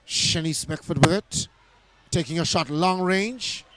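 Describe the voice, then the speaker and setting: A hoarse man doing live netball commentary in an indoor arena, excited and often restarting his phrases. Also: fairly high pitch, unhurried.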